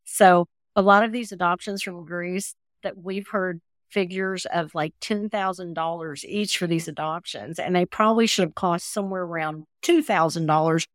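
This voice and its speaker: A woman's voice: lowish voice, normal speed, few pauses, no room sound. A woman in her sixties telling personal stories as an interview guest, earnest and talkative. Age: 60s. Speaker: interview guest, adoptee advocate. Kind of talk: conversational personal storytelling.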